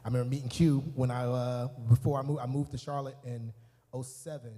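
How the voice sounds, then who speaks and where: A man's voice: mid-range voice, little pitch movement, a little fast, warm tone. A Southern American man talks in a long, hall-like reverb. He is an easygoing, jovial interview guest who speaks casually. His answers ramble, circling back over the same phrases. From Columbia, South Carolina, US.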